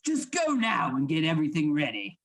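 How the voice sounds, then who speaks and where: Man playing a husky, domineering Victorian schemer. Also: on the high side, wildly swooping pitch, fairly quick, a bit bright, full character voice. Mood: brisk, evasive. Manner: theatrical, commanding, coaxing formal speech. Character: domineering, scheming male anatomy-lab assistant in Victorian Scotland. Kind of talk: live radio play acting.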